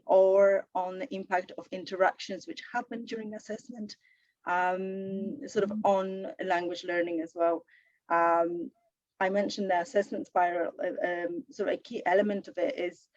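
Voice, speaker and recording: Slightly croaky woman on a dull video call. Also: fairly low pitch, a little mellow, no room sound. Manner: thoughtful, many fillers and restarts. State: sore throat, slightly croaky. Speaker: book author on a panel. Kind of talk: answering an audience question.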